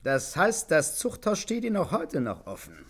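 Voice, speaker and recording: An actor playing a smug, insinuating police inspector in a 1950s German radio play, needling with mock patience. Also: male voice, on the high side, wildly swooping pitch, moderate pace, slightly rough, full character voice.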